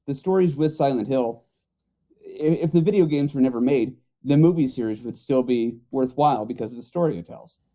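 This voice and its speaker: A man's voice: medium pitch, brisk, mellow. A man co-hosting a podcast, talking casually and giving his opinions. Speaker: podcast co-host. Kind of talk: casual group chat.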